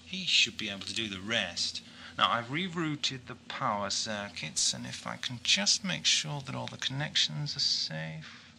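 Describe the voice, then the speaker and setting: A man playing a brainy, eccentric time-travelling adventurer in an audio drama, brisk and explanatory. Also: mid-range voice, expressive, gravelly, pushed and bright, slight background noise, full character voice, low-quality recording. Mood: keen, thoughtful. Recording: music behind amateur audio drama.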